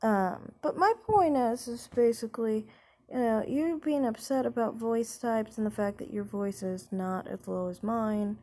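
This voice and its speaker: Slow, rambling young woman singer. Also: fairly high pitch, dark tone. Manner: rambling, hesitant, many fillers; speaks slowly. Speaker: singer talking about her voice type. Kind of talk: casual vlog chat.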